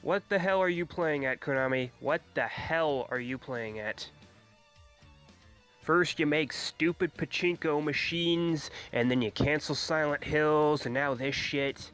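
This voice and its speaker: A man with a stuffy nose from a cold, giving his blunt opinions in a casual gaming vlog, swearing freely and filling pauses with ums and uhs. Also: a little high, fairly bright tone, clean tone, faint music behind. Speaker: gaming YouTuber.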